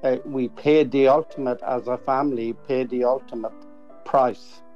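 An older Irish man giving an earnest tribute as an interview guest, emphatic and halting, repeating words as he goes. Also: mid-range voice, fairly even intonation, a little mellow, dull audio, faint music behind.

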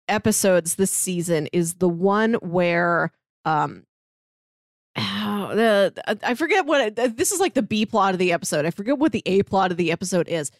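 A woman co-hosting a podcast in casual chat, telling stories animatedly, acting out quotes and slipping in quick asides. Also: mid-range voice, dry recording, studio quality. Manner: animated storyteller, acts out quotes, quick asides, laughs often. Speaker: podcast co-host.

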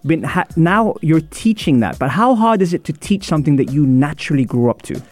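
A man hosting a radio interview show in a studio, asking questions and giving frequent quick agreements. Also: a little high, expressive, a little mellow, no echo. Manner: affirming, many quick agreements.

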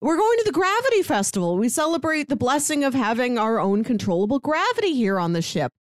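A woman with a fairly high voice talks through a casual review as a podcast co-host. Her intonation swings widely, and she likes to act out imagined lines. The sound is dry and close. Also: big pitch swings, fast, no room sound. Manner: acts out mock dialogue to make points. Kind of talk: casual animated discussion.

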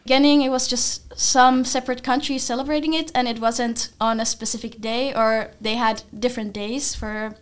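A woman's voice: fairly high pitch, steady tone, normal speed, hardly any pauses, smooth. A young woman from Finland talking informally as a student guest in a podcast discussion. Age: young adult.

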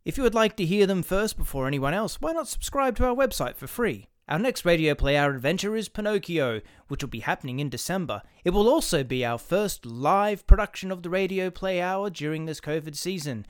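Clear, higher-pitched male announcer. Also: animated, brisk, few pauses. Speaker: radio play presenter and producer. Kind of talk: scripted credits and announcements.

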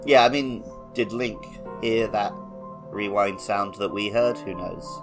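A man's voice: lowish voice, unhurried, music in the background. A man co-hosting a podcast, talking casually and conversationally about a video game. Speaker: podcast co-host.

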